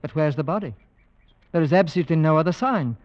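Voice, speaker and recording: A man playing a brisk, skeptical gentleman tracker with a crisp, wry manner in old-time radio drama. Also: fairly high pitch, lively intonation, lightly acted. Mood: keen, excited. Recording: dull vintage radio recording.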